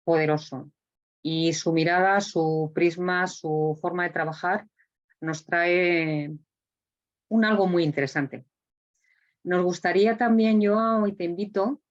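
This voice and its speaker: A woman hosting an online talk, introducing a speaker with halting, deliberate phrasing and drawn-out words. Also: on the low side, muffled recording. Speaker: host introducing a guest speaker.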